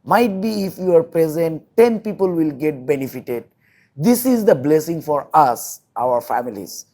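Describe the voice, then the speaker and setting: Slow-talking Bangladeshi man, earnest and halting. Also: a little high, animated, mellow. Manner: halting, repeats phrases, earnest. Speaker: interview guest, volunteer social worker. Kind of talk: earnest interview talk in non-native English.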